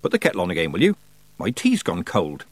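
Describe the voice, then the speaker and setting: A man's voice: low-pitched, wildly swooping pitch, fast talker, breathy edge, no room sound, full character voice. Man voicing a growling, prickly old country witch. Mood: snappish. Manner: brusque, folksy rural turns of phrase. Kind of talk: audiobook character voice.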